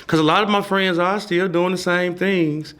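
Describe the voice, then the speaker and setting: A man's voice: high-pitched, fairly even intonation, normal speed, a bit bright. A man in his forties, a playwright guest on a podcast, talking loosely and emphatically with lots of "you know" and easy laughs. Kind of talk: casual conversation.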